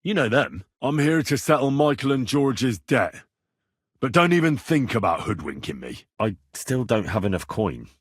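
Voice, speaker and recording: Young medieval squire from a video game, raspy edge, blunt and hot-headed, very dry recording. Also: male voice, medium pitch, sing-song, normal speed, dry recording. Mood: firm. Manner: blunt, colloquial, assertive. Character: brash young medieval Bohemian squire, plain-spoken and quick-tempered. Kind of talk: video game dialogue acting.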